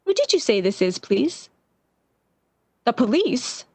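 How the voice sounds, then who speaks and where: A distraught, lovesick woman from a 1940s-style radio play, performed by an actress. Her voice is on the high side and recorded close and dry. She speaks slowly and haltingly, with obsessive, theatrical intensity. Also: big pitch swings, a little mellow, slightly rough, no room sound, heavily acted. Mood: breathless anticipation.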